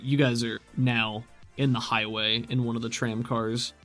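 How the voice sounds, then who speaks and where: A man with a medium-pitched voice acts as game master for a tabletop role-playing session. He is conversational and explanatory as he narrates. Faint music plays behind him. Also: level tone, measured pace, a bit bright.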